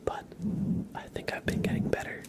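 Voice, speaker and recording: A man speaking in a soft, breathy whisper, chatting casually with viewers as an ASMR livestream host. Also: moderate pace.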